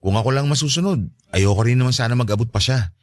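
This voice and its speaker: A man's voice: mid-range voice, fast talker, darkish tone, no echo, clean studio sound, close to an everyday voice. A man playing a meek, accommodating, homely stepfather in a radio-style drama, polite, placating and defensive. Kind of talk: Tagalog radio-style love story drama.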